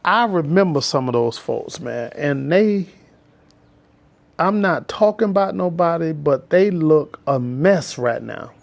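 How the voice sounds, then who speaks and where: Man, fifties, porch vlogger; gravelly, slightly high voice, preachy testimony. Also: animated, measured pace. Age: 50s. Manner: preachy, repetitive, emphatic. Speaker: vlogger and school bus driver trainer. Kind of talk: casual testimony vlog. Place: on his porch outdoors.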